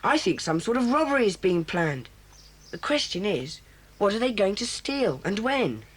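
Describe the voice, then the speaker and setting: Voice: medium pitch, sing-song, normal speed, slightly rough. A performer voicing a solemn, bossy boy who leads a children's club, speaking in an earnest, formal, commanding way in a 1980s children's audio drama.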